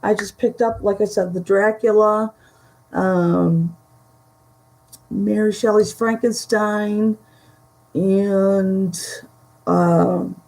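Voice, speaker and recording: Woman, Western New York accent, slow halting live stream chat, gravelly fry, at home. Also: mid-range voice, steady tone, darkish tone, rough recording. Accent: Western New York Great Lakes. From Buffalo, New York area. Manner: slow, halting, many fillers. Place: home room.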